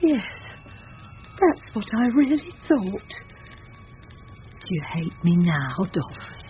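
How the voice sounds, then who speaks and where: A woman's voice: medium pitch, big pitch swings, slow pace. A woman playing a flustered, remorseful working-class Victorian wife and mother, tearful and fretful, in an old-time radio drama. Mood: regretful confiding. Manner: tearful, fretful, sighing exclamations. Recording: muffled, hissy old radio drama recording.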